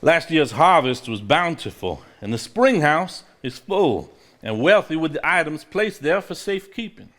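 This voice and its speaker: An actor as a composed, conspiring man in a stage play. His voice is fairly high and very expressive in pitch, with a measured manner. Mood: formal, measured. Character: composed, conspiring man reading an old diary aloud. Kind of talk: live stage play acting, formal reading aloud.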